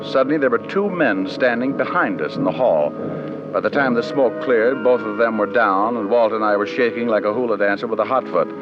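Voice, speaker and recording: Low-voiced wisecracking radio detective played by a man. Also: fairly quick, natural voice. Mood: tense narration. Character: wisecracking, hard-boiled male private detective, cocky and cool. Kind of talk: 1940s-50s radio detective drama acting and narration. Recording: muffled vintage radio recording, music behind.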